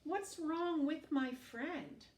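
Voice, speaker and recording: A woman voicing a young male penguin from a storybook, a curious and devoted friend with an expressive manner. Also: high-pitched, extremely slow, echoey room, recorded from a distance, pitched above the performer's own voice. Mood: worried.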